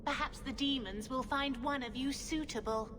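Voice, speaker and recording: A woman's voice: sits high, moderate pace, close to an everyday voice. An actress playing a haughty, scheming female blood mage villain in a video game, speaking in a grandiose, menacing, theatrical way. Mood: smug menace. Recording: long hall reverb, clear background noise.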